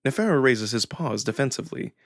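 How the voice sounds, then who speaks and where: Expressive man narrating a story. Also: mid-range voice, fast talker, gravelly, no room sound, studio quality. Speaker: narrator and voice performer. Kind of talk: dramatic first-person story narration of a visual novel.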